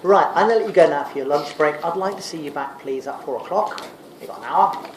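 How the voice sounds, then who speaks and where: A man with a high voice delivers a revision lecture in a didactic style, often putting a question and then answering it himself. He is recorded from a distance in a very reverberant lecture room, with clear background noise. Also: a little fast. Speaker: sociology lecturer. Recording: echoey room, distant mic, noisy.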